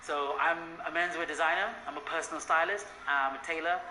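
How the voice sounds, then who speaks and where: Zimbabwean designer in an echoey room. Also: male voice, on the high side, steady tone, brisk, bright, forceful tone, slight background noise. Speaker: menswear designer and tailor. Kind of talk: interview self-introduction.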